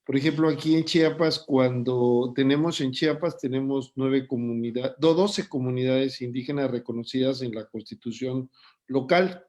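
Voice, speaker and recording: A man who hosts and moderates an online lecture, speaking in a measured, deliberate way. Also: mid-range voice, fairly even intonation, darkish tone. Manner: measured, deliberate, pauses with fillers. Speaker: host and moderator.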